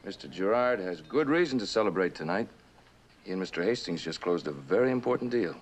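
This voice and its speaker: An actor playing a controlling businessman, a tycoon's henchman, polite and quietly commanding in a mid-century suspense drama. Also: low-pitched, animated, a little fast. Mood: courteous.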